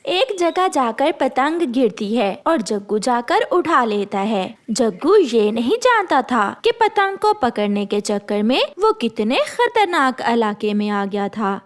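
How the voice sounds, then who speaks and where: A woman narrates a children's cartoon as a storyteller. Her voice is high, and her pitch moves up and down in a lively way. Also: takes it slowly, few pauses.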